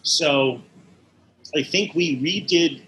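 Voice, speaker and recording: Male animator as interview guest: bright, crisp-edged tone, explanatory and detailed, slight noise behind. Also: fairly high pitch, slow, poor audio. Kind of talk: in-depth interview recollection.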